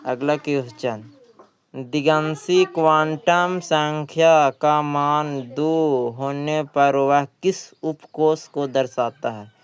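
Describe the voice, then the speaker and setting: A man's voice: fairly high pitch, fairly even intonation, slow pace, clear, resonant tone. A man teaching by reading exam questions and explaining the answers, often repeating himself. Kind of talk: exam question walkthrough lesson.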